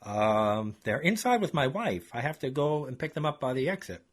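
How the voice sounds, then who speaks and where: A man's voice: mid-range voice, very animated, normal speed. A man voicing a shifty, hot-tempered man who swears and gets defensive when caught lying. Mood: evasive. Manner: defensive, foul-mouthed.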